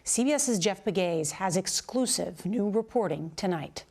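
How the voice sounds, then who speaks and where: Mid-pitched woman anchoring news. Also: animated, normal speed, darkish tone, a little hiss or hum. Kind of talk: scripted news introduction.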